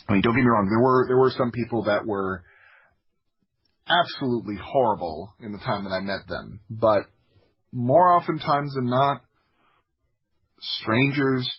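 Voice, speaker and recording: A muffled, slightly lo-fi recording of a man from Florida with a low, heavily husky voice. He recounts personal stories as a podcast guest, in a reflective, halting way that often circles back to restart a phrase. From Florida, United States. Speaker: podcast guest, audio drama creator. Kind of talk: personal storytelling in an interview.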